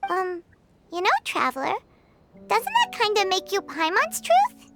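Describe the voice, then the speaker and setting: A woman voicing a tiny, excitable female fairy companion who talks about herself in the third person, in a video game. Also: extremely high pitch, a little slow, full character voice. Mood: cheerful. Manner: squealing, pleading, speaks of herself in third person. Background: game music.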